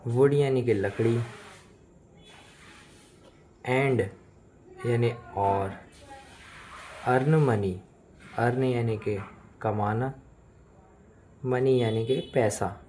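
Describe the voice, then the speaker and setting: A man with an Indian accent, a native Hindi speaker, teaching English phrase by phrase in a deliberate, repetitive, explanatory manner. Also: medium pitch, dark tone, slight background noise. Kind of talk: bilingual language lesson, phrase by phrase.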